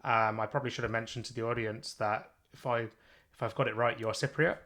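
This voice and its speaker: A man hosting a podcast, asking curious questions in a relaxed, chatty way with some fillers. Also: mid-range voice, brisk. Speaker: podcast host. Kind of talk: casual interview chat.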